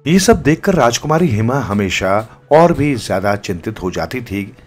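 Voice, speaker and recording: A man's voice: medium pitch, very animated, moderate pace, soft background music. A man narrating a scripted moral story as a storyteller.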